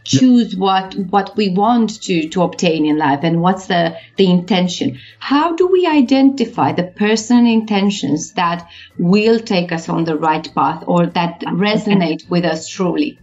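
A woman's voice: on the low side, unhurried, darkish tone. A woman hosting a radio interview, asking polite, hesitant questions. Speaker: radio host. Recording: dull lo-fi radio sound with faint music.

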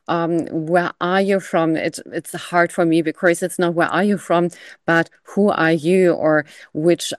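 A woman hosting a radio interview, reading a formal introduction and asking questions with hesitant pauses. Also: lowish voice, no room sound, clean studio sound. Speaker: radio show host.

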